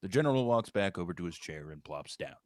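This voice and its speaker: A man with a lowish voice narrates a screenplay. He reads the stage directions as scripted prose, recorded very dry with no room sound. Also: animated, fast talker, no room sound.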